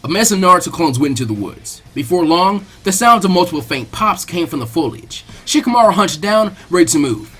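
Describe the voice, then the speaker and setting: A man reading fan fiction aloud as expressive scripted story narration. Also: high-pitched, a little fast, hardly any pauses, slightly rough. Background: faint music.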